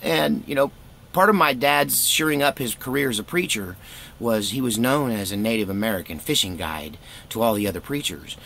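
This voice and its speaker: A man's voice: medium pitch, lively intonation, moderate pace, vocal fry, a bit bright, a little hiss or hum. A middle-aged man telling personal stories in a casual, rambling, laid-back way with wry self-deprecation. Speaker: storytelling vlogger, former youth pastor.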